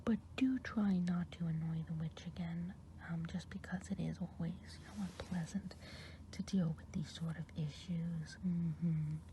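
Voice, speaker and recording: A woman voicing a kindly, chatty good witch of the woods, whispering softly and rambling in an ASMR roleplay. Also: on the low side, fairly even intonation, measured pace, slight background noise, close to an everyday voice. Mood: gentle whisper. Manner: soft whispering, rambling gossip. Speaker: ASMR performer. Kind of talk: ASMR roleplay eye exam.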